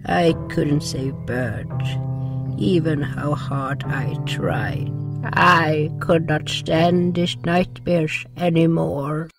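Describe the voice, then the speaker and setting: A man playing a gentle, sorrowful creature who narrates an audio drama in a deliberate, solemn way. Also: on the high side, fairly even intonation, vocal fry, over music, exaggerated voice. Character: gentle, sorrowful non-human narrator River, caring companion.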